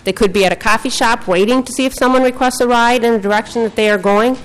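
A woman senator formally presenting her bill to a legislative committee, reading from prepared notes in a measured way. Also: fairly high pitch, fairly even intonation, low-quality recording. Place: legislative committee hearing room.

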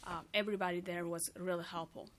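A woman's voice: lowish voice, level tone, fast talker. A woman from Peru, a native Spanish speaker with a Peruvian accent, giving earnest testimony to a committee as an outreach worker. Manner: earnest, non-native phrasing.

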